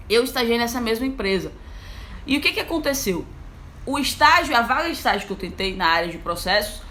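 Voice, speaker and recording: A chatty young woman from Brazil, an engineer, talks straight to her viewers in a lively, informal way, leaning on filler words as she goes. Her voice sits a little high for a woman. Faint noise hums underneath. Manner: lively, chatty, frequent fillers like "então, assim". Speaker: engineer and online mentor. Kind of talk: casual live talk to an audience.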